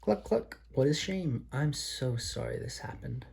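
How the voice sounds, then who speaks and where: A man's voice: mid-range voice, animated, measured pace, gravelly, a little mellow, slightly rough, voice pushed up, heavily acted. A man voicing a sympathetic, chatty chicken that clucks and repeats its words in a children's storybook. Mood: concerned, chatty. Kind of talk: children's storybook read aloud.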